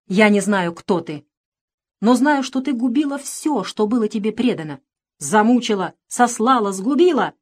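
A woman's voice: medium pitch, animated, moderate pace, few pauses, natural voice. A woman voicing a hot-tempered, anguished grown son, a nobleman making impassioned accusations in a dramatic story reading.

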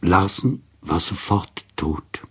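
A man's voice: deep voice, fairly even intonation, slow pace, husky. A man reading a literary story as scripted narration. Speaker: reader of literary prose.

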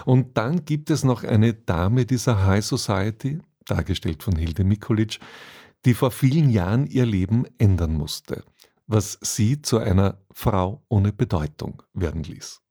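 A male radio presenter reads a scripted introduction. His voice is fairly low and dark, with a mellow, relaxed tone. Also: measured pace.

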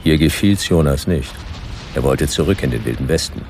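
Very deep, gravelly detective narrator. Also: male voice, moderate pace, a little hiss or hum. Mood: dry, brooding. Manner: terse, dry, deadpan. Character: laconic hard-boiled male private detective narrating. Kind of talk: noir radio drama narration.

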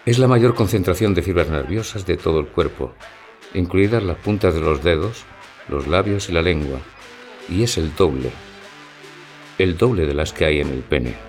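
A male actor plays a factual narrator in an audio play. His voice is fairly deep and his delivery precise and declarative. Soft music sits behind it. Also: animated, moderate pace. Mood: authoritative. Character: factual, authoritative explainer.

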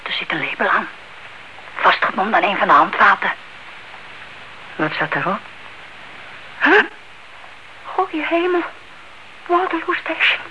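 An actress playing an anxious, stubborn old aunt in a radio drama, fretful, hesitant and insistent. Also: female voice, medium pitch, very animated, measured pace, pauses often, sharp tone. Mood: tense, excited. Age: older. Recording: muffled, very noisy old radio recording.

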